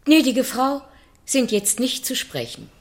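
Casting: dutiful, stiffly polite maid, high and melodic, for a radio play. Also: female voice, big pitch swings, unhurried, very noisy, exaggerated voice. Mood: formal, stiff. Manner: stiff, formal, deferential. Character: formal, dutiful housemaid announcing to a visitor. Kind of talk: German radio play acting.

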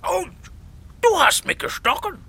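A man's voice: very high voice, very animated, a little slow, vocal fry, bright, forceful tone, full character voice. A man playing a grumbling, exasperated Santa Claus in a children's radio play, slipping English into German.

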